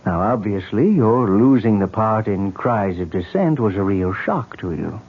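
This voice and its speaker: A calm, probing psychiatrist. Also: male voice, lowish voice, a little slow, slightly rough, full character voice. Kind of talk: 1970s radio drama acting. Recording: tinny lo-fi radio broadcast.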